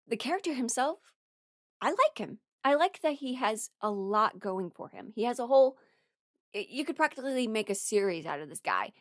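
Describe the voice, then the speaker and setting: A woman with a fairly high voice, recorded dry and close with little room sound. She talks like a host rambling through her opinions, casual and candid, softening blunt verdicts with apologetic asides. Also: dry recording. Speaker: host ranking audio drama characters.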